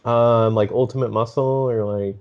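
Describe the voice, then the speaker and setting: A man's voice: on the low side, fairly even intonation, moderate pace. A man in casual podcast chat, hesitant and slangy, on a slightly dull microphone.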